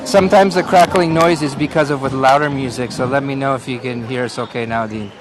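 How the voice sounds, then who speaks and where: A man from Canada, hosting a live walking tour of a night street festival and chatting with viewers over loud music. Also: medium pitch, fairly even intonation, brisk, rough recording. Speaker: live-stream host. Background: loud live folk music and a crowd.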